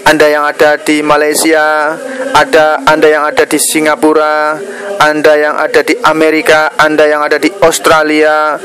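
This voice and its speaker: This is a man with a Javanese Indonesian accent and a voice pitched a little high. He speaks directly to his viewers as a video blogger. His delivery is earnest and a touch preachy, and it often halts in the middle of a phrase. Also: completely flat delivery, fairly bright tone. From Indonesia, Java. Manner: halting, pausing mid-phrase. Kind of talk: earnest spoken commentary addressed to viewers.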